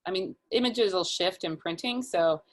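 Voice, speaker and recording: A woman's voice: on the low side, moderate pace. A woman speaking as an illustrator guest in a casual interview, explaining her process step by step in informal language.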